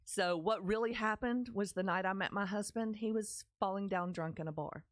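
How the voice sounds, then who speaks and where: A woman's voice: mid-range voice, moderate pace, dry recording, studio quality. A middle-aged woman with a Texas accent, hosting a podcast and telling her personal story in an earnest, candid way, speaking straight to her listeners. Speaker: podcast host. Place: small podcast studio, indoors. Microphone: studio mic on stand, close, with headphones.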